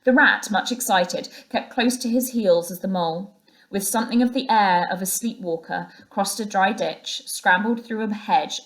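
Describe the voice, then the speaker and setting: A woman's voice: lowish voice, low-quality recording. A woman reading a storybook aloud as a teacher would to her pupils, narrating the scenes.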